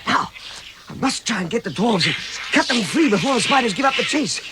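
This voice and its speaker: High-pitched, fussy, plucky hobbit. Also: male voice, sing-song, brisk, loud music behind the voice. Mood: urgent, caring. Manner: stammering, muttering to himself, exclamatory. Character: timid but plucky, fussy hobbit adventurer, alone and anxious. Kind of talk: vintage British radio drama acting. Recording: vintage radio recording with loud background noise.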